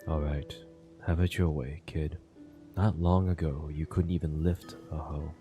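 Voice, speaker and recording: A man's voice: extremely low pitch, level tone, measured pace, mellow, muffled recording, faint music behind. A man voicing an aging farmer father in a story game, plain and reassuring as he sends his child off. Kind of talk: game dialogue.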